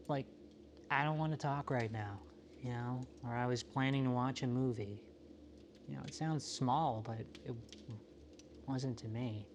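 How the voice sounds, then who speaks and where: A man playing a solitary, introspective apocalypse survivor in a found-footage monologue, talking in a halting, self-questioning, confessional way. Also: mid-range voice, a little mellow, slight background noise. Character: solitary, world-weary, introspective male survivor of a quiet apocalypse.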